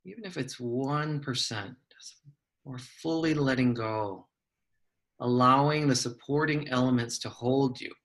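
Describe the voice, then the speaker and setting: A man guides a meditation at a very unhurried pace, in a fairly average-pitched voice. He gives soft, measured instructions and leaves long pauses between his phrases. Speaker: psychologist leading a guided meditation.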